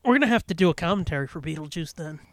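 Higher voiced guy bantering on a podcast, blunt n sweary, dry close sound. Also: animated, racing, no room sound. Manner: blunt, profane. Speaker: podcast co-host. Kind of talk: casual banter about films.

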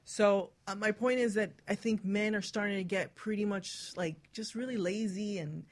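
A woman's voice: mid-range voice, steady tone, normal speed. A woman hosting a casual radio panel chat, talking bluntly and swearing freely. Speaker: radio show host.

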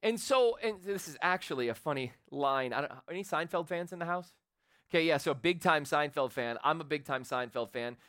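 Loud, bright-voiced male preacher. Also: on the high side, fairly quick, clean tone, dry recording, clean studio sound. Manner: loud, animated preaching that builds in intensity. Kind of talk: sermon. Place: church sanctuary with a congregation. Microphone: handheld or stage microphone.

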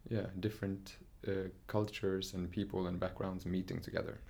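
Low gravelly young man, hesitant. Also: level tone, normal speed, a little mellow. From Norway. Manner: thoughtful, hesitant, many fillers and restarts. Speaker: dancer, interview guest. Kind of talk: casual interview talk.

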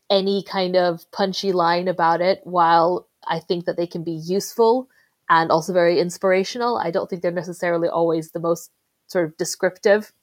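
A woman from the Philippines, raised in Sweden, talking as a UX designer guest in a casual interview, speaking in an articulate, measured way with careful hedges. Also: lowish voice.

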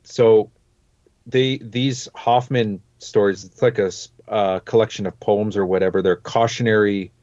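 A man's voice: fairly low pitch, fairly even intonation, unhurried, darkish tone, dry recording. A man co-hosting a podcast, rambling casually with lots of fillers. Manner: loose and rambling, many fillers like "like" and "you know". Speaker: podcast co-host. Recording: dry, dull lo-fi mic.

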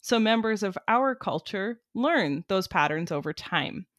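A woman's voice: mid-range voice, animated, a little slow, fairly bright tone, dry recording. A woman with a mid-range voice explains things in an articulate, conversational way, like an educator in an interview. The recording is dry, with very little room sound. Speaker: music cognition researcher and educator, interviewee.

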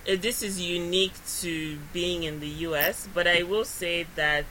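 A woman from Nigeria with a somewhat low voice talks things through on a podcast. She thinks aloud in a relaxed, reflective way. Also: moderate pace, slight background noise, poor audio. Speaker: podcast co-host. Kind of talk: casual podcast chat.

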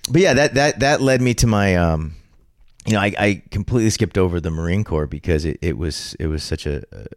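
Very deep-voiced man, dry close sound. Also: lively intonation, brisk, no room sound. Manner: storytelling, frequent restarts and acted-out quotes. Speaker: podcast guest. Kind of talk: casual chat.

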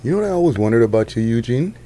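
Laid out casual interview questions from a guy with a mellow voice, slangy and informal. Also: mid-range voice, lively intonation, racing. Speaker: interviewer, host.

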